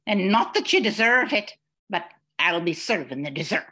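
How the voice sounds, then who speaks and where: A woman's voice: mid-range voice, lively intonation, normal speed, sharp tone. An older woman playing a loyal, wry housekeeper and cook in an amateur radio mystery. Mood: wry, measured. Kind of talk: amateur old-time radio mystery acting.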